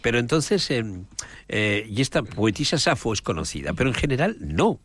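A priest in a relaxed conversation, explaining the Gospel with rambling asides and frequent checks with "¿m?". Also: male voice, medium pitch, normal speed, no echo. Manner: rambling, searching for names, frequent "¿m?" check-ins. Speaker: priest and chaplain guest.

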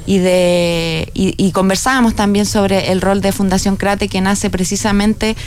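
A woman's voice: mid-range voice, steady tone, a little hiss or hum. Woman hosting a radio interview. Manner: measured, with frequent "eh" fillers and tag questions. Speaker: radio host.

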